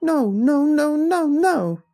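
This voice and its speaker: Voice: mid-range voice, lively intonation, measured pace, creaky, dark tone, faint music behind, pitched above the performer's own voice. A man voicing a frightened, tearful young boy in a narrated horror story. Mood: upset, shrieking.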